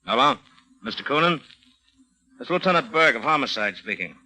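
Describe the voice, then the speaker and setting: An American actor playing a shrewd, suspicious homicide lieutenant in a vintage radio crime drama, clipped and sardonic. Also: male voice, mid-range voice, lively intonation, normal speed, pushed and bright, soft background music. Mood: brisk, businesslike. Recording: noisy vintage radio recording with clicks.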